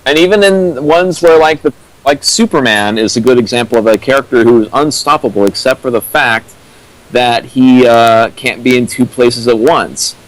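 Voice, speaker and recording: Casting: male co-commentator, medium pitch, halting and opinionated, explains casually with examples. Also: poor audio. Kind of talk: casual opinionated discussion of storytelling.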